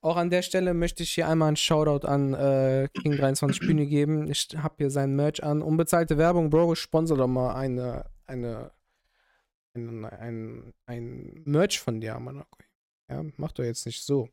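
A man's voice: on the high side, steady tone, a little slow, gravelly, a little mellow, clean tone. A man hosting a podcast in casual chat, joking and laughing often, with many fillers and restarts. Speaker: podcast host.

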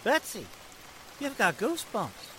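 A man's voice: very high-pitched, wildly swooping pitch, a little slow, heavily acted. A man playing a brash, teasing teenage boyfriend with cocky, slangy speech, in the style of 1940s radio drama. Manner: slangy, cocky, casual grammar. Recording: noisy recording with rain.

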